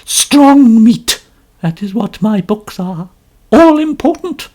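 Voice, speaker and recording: Storybook figure: high, loud, theatrical lady novelist, complaining and self-important, put on by the narrator. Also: animated, slow. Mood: gushing. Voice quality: complaining, melodramatic. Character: pompous, gushing older woman novelist.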